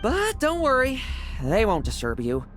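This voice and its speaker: A man's voice: extremely high pitch, huge pitch swings, unhurried, full character voice. A young man playing a cocky, sardonic young knight vice captain in a video game, theatrical and taunting. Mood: sardonic, assured. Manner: theatrical, taunting, swinging from soft to shouted. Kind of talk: dramatic video game voice acting. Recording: loud music under the voice.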